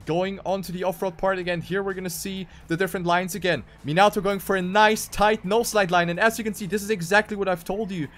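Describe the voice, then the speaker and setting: Higher-pitched man giving live racing commentary. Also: quick pace, hardly any pauses, faint music behind. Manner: animated play-by-play, repeats phrases for emphasis. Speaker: esports race commentator.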